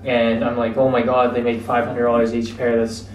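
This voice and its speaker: A young American man telling his story as an interview guest, relaxed and reflective, with frequent fillers. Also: mid-range voice, normal speed, a little hiss or hum. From United States. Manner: relaxed, reflective, many fillers like "you know". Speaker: guest, entrepreneur. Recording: roomy, distant mic, faint ambience.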